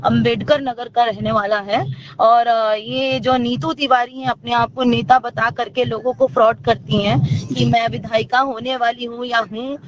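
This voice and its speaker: A woman's voice: a little high, fairly even intonation, unhurried. Woman on a dull, noisy phone recording. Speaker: interview guest, actor and singer. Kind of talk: phone interview giving her account of being cheated. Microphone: phone call.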